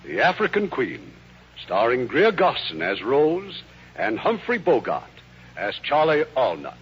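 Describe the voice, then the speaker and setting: A man's voice: mid-range voice, wildly swooping pitch, measured pace, background noise. A man giving scripted narration as an announcer in 1950s radio style. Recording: dull old radio broadcast recording. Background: music.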